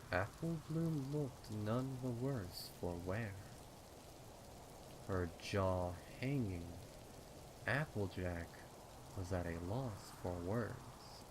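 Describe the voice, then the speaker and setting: A man narrating a horror story dramatically, with deliberate, suspenseful phrasing. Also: fairly low pitch, background noise. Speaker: fan fiction narrator.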